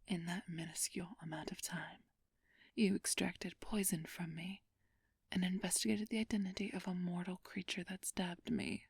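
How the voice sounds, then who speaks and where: A woman playing a flirtatious, haughty ancient vampire thief in a whispered ASMR roleplay, teasing and condescending with a drawn-out purr. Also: on the low side, dry recording, studio quality. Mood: weakened, composed. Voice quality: breathy whisper.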